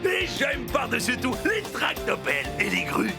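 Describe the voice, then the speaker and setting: An actor voicing an excitable cartoon digging machine who gushes and giggles about building tools. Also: male voice, extremely high pitch, moderate pace, creaky, exaggerated voice. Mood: gleeful. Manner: gushing, giggly and gleeful. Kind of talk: French cartoon dubbing. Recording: soundtrack music behind.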